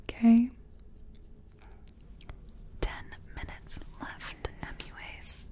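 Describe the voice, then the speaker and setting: A woman speaking softly, mostly in whispers, in an ASMR makeup-artist roleplay, murmuring step by step as if to a client. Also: very slow. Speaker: ASMR creator role-playing a makeup artist. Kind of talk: soft-spoken ASMR personal attention roleplay.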